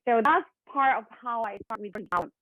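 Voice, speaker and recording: A woman's voice: fairly high pitch, lively intonation, normal speed, bright, forceful tone, no room sound. A woman whose first language is Spanish, talking earnestly in a group discussion and restarting her sentences. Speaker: meeting participant. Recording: tinny dry phone line.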